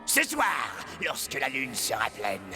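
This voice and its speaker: An actor playing a tyrannical, gluttonous male mouflon villain in a children's cartoon, gravelly, pompous and theatrical in a menacing way. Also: mid-range voice, wildly swooping pitch, slow pace, music in the background, exaggerated voice. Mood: gloating command. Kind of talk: animated children's film dub.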